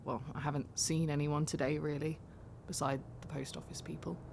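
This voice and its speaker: An actress playing a candid, vulnerable young woman taxi passenger in an audio drama, confiding in a halting, self-deprecating way. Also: low voice, little pitch movement, vocal fry, darkish tone, slight background noise. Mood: nervous, confiding.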